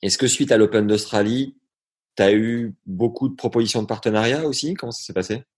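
A man's voice: medium pitch, brisk, slightly rough. A man interviewing a young athlete, informal and teasing. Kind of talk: casual, joking interview.

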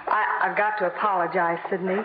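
A woman's voice: lowish voice, fairly even intonation, brisk, reverberant, natural voice. An actress playing a wry, devoted working girlfriend with an American accent in 1950s radio comedy style. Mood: touched. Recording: tinny, noisy old radio broadcast.

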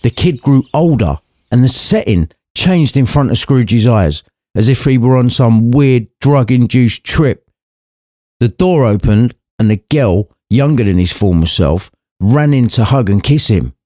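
A man's voice: mid-range voice, animated, measured pace, warm tone, dry recording, studio quality. A man narrating a scripted story in an irreverent way, with cheeky, sweary asides to the listener.

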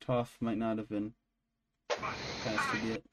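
A man's voice: lowish voice, flat delivery, takes it slowly, very noisy. A man co-hosting a podcast, chatting casually and irreverently about entertainment news, swearing freely. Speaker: podcast co-host.

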